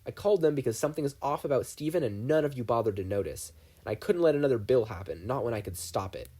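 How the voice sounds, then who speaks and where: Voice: medium pitch, expressive, dark tone, slightly rough. A reader voicing an anxious, guilt-ridden teenage boy. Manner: anxious, rapid questions.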